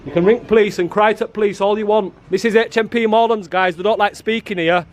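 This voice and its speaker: Man, high-ish voice, loud and combative, filming outdoors on a handheld camera. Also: steady tone, fast talker. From England. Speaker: auditor filming outside a prison. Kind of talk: confrontational street filming. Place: outdoors by a prison car park.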